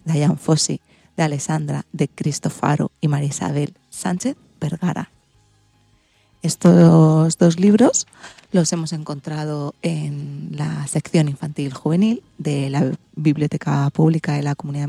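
A woman's voice: deep voice, creaky, warm tone, slightly rough, dry recording. A woman with an accent from Spain hosting a children's radio programme, partly reading from a script.

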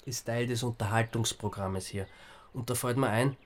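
A man's voice: mid-range voice, normal speed, close to an everyday voice. Sardonic, casual Austrian everyman played by an actor, mid-range. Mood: sardonic, flippant. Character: sardonic, flippant, reluctant everyman hero. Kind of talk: radio drama acting.

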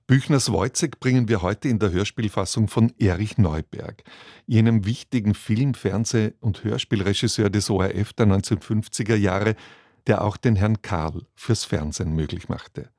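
A man's voice: fairly low pitch, normal speed, a little mellow, no echo, studio quality. A man reading a formal, scripted introduction as a radio presenter. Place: dry broadcast studio.

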